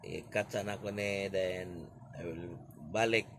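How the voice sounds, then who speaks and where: A Filipino man in his forties vlogging excitedly from a bedroom into a phone held close, in halting English mixed with Filipino. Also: low-pitched, background noise. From Philippines. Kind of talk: casual selfie vlog. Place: small bedroom, indoors. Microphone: phone camera held close.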